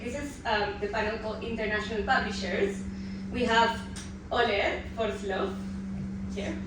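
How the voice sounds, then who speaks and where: A woman in her thirties from Peru introduces a live panel. She sounds a bit nervous and halting, with frequent ums and restarts. She is heard from a distance in a very echoey room over steady background noise. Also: medium pitch. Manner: hesitant, many ums and restarts. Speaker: panel moderator.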